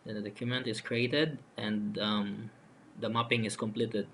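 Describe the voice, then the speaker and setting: Male software demonstrator, unscripted and halting, walking through screen steps, some noise behind the voice. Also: on the low side, creaky. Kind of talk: step-by-step screen tutorial narration. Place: voice-over for a screen recording. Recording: noticeable steady background noise.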